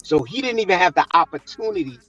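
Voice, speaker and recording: A man's voice: high-pitched, lively intonation, fast, bright, forceful tone, slightly rough, soft background music, rough recording. A man arguing insistently in a heated panel debate, pressing his point.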